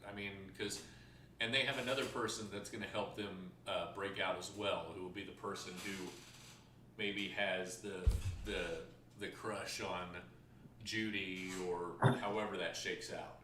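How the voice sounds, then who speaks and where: A man co-hosting a casual creative discussion, explaining ideas in a measured, halting, conversational way. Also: sits low, fairly even intonation. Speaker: show co-host and writer. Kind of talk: casual chat, pitching story ideas. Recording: distant, echoey mic with background noise.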